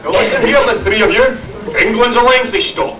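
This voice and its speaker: A man's voice: extremely high pitch, fairly quick, noisy background. A man playing a bossy, penny-pinching chemist in an amateur rhyming Christmas pantomime. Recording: distant echoey stage recording, music and audience.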